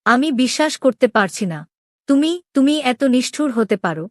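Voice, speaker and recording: A woman voicing a proud, lovestruck young princess in an animated story, questioning with emotion. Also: on the high side, normal speed, no room sound, clean studio sound, natural voice. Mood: anguished, accusing. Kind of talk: dramatic Bengali animated story dialogue.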